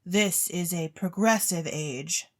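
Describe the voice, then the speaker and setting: A woman voicing a pompous, self-satisfied ancient male chemist who dabbles in magic, with deliberate, drawn-out storybook delivery. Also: fairly low pitch. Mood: proud showmanship.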